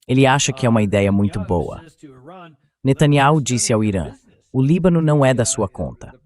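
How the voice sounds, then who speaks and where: A man's voice: mid-range voice, very animated, normal speed, a little mellow. A man with a Brazilian accent reading a translated voice-over of political news commentary as the show's host.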